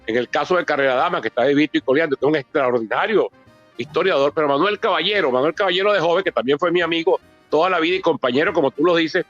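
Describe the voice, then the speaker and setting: A man's voice: a little high, fast talker, a bit bright. A Venezuelan man in his sixties presenting his book in a public talk, discursive, with frequent asides and repeated words. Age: 60s. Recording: dull lo-fi audio, music behind.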